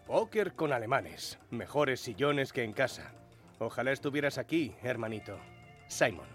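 A young 1910s telegraph operator, keen and stubborn, with a mid-pitched voice. It is radio drama acting with music in the background. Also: male voice, big pitch swings, moderate pace, fairly bright tone. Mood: amused, reading aloud. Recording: music behind the voice.

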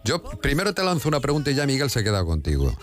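A man with a La Mancha accent hosting a radio show, reading news briskly and then interviewing guests. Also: mid-range voice, huge pitch swings, faint music behind. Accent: La Mancha Spanish. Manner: brisk, newsy delivery with quick self-corrections. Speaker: radio host. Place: radio studio.

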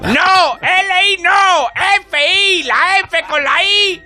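A man voicing an enraged, indignant male car owner who scolds and shouts in a radio comedy. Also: extremely high pitch, takes it slowly, soft background music, heavily acted. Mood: furious. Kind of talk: Spanish radio comedy impression.

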